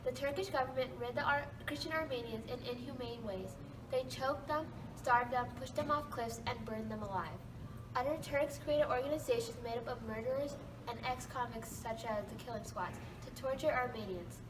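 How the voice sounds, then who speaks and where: High-voiced young woman reporting over noise. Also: normal speed. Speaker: student correspondent. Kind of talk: scripted news report. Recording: distant mic, clear ambient noise.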